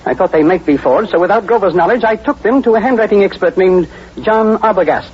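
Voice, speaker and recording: A man playing a stuffy, middle-aged wealthy gentleman in an old radio drama, speaking formally and precisely. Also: sits high, fairly quick, lightly acted. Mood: anxious, formal. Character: stuffy, wealthy, fussy gentleman client, stepfather. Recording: muffled vintage radio, faint noise.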